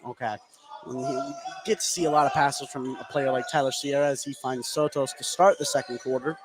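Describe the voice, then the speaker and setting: A man's voice: mid-range voice, fairly even intonation, a little fast. A man doing live radio play-by-play of a basketball game, working as the main announcer. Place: indoor basketball gym. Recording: noisy gym ambience behind.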